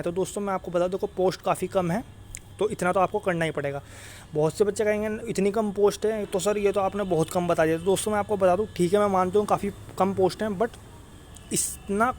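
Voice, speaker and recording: A man's voice: sits high, darkish tone, a little hiss or hum. A young man explains things informally to students as an exam coach, repeating himself, checking in with tag phrases and mixing in English words.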